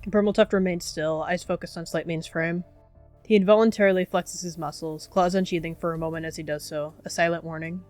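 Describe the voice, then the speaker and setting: A woman's voice: fairly low pitch, fairly even intonation, fairly quick, darkish tone, faint music behind. A woman narrating characters' actions in a descriptive roleplay game.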